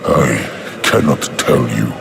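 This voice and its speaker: An actor playing an ancient, weary living-stone giant in a video game, slow, ponderous and gravelly. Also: male voice, rumbling low, heavily acted. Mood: weary, dreamy. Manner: slow, ponderous, drawn-out delivery. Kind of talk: video game cinematic acting. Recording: loud music underneath. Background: loud game music.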